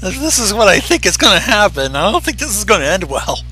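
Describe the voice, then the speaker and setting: A man's voice: sits high, sing-song, quick pace, pushed and bright, a little hiss or hum. A man giving casual, blunt reaction commentary to a story, swearing freely.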